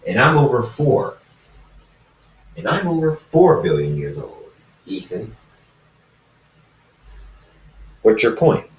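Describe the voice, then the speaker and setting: A man's voice: mid-range voice, sing-song, unhurried. A man voicing a blunt, probing, ancient immortal companion in a story, emphatic and repetitive. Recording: roomy echo, distant microphone.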